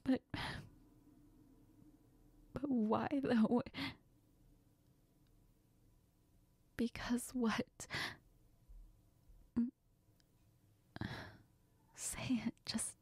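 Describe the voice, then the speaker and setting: A woman playing a heartbroken young woman in an intimate audio role-play, crying and confiding in a halting, breathy, often whispered voice. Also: on the high side, flat delivery, a little mellow, no room sound, heavily acted. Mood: wistful, hurt. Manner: halting, sniffly, tearful. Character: heartbroken, tearful, apologetic young woman confiding in best friend.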